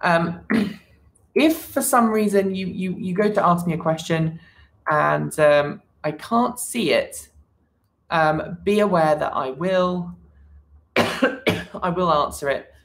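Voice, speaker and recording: A woman with a slightly low voice hosts a casual livestream from her computer. She talks loosely and keeps restarting her sentences with lots of ums. She stops now and then to greet the viewers in the chat. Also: measured pace. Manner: hesitant, many fillers and restarts; chats with viewers, reads the chat. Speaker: livestream host.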